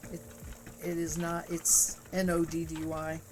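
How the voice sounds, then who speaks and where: A woman streaming live while she spins yarn, chatting casually with viewers in a soft, calming voice and laughing easily. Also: lowish voice, slow, music in the background. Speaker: livestream host spinning yarn. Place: home crafting room with spinning wheel.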